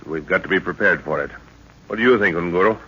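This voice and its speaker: A man's voice: deep voice, fast talker, bright, forceful tone, breathy edge, heavily acted. A man playing an older explorer professor and protective father in an old radio serial, explaining and commanding with authority. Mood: explanatory, assured. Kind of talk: 1930s-40s radio serial acting. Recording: muffled old radio recording.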